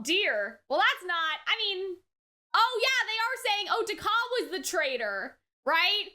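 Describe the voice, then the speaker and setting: A woman's voice: extremely high pitch, sharp tone. A woman giving casual, off-the-cuff reaction commentary, exclaiming often, repeating words and thinking aloud. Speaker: reaction video host.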